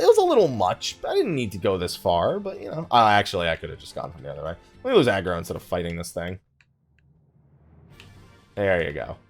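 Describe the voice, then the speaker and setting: A man giving casual let's play commentary, chatting and rambling as he thinks aloud while he plays. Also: fairly low pitch. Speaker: gaming YouTuber, let's play host.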